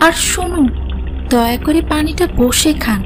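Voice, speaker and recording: Cartoon role: devout, caring wife voiced by a woman, high-pitched, polite, soft music beneath. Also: measured pace. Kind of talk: moral story cartoon dialogue.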